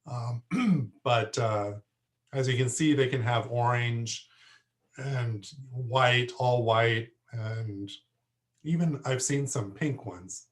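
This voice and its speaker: Slow, hoarse male lecturer clearing his throat. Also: medium pitch. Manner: frequent throat clearing, halting with fillers. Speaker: horticulture instructor. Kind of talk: lecture to a class.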